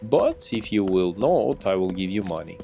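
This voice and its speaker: A man's voice: sits low, big pitch swings, normal speed, creaky, a little hiss or hum. A young man from Belarus with a Russian accent, casually commenting on a game as he plays and musing aloud about what he finds. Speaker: streamer.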